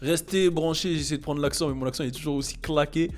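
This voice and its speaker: A French man with a French accent, hosting a casual chat about rap, relaxed and full of fillers and restarts. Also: a little high, fast. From France. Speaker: podcast host.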